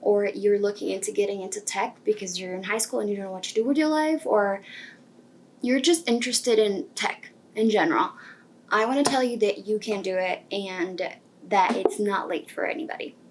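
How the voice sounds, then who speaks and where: A woman's voice: mid-range voice, normal speed, slight background noise. Young Brazilian woman, casual storyteller. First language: Portuguese. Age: 20s. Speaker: software engineering student telling career story.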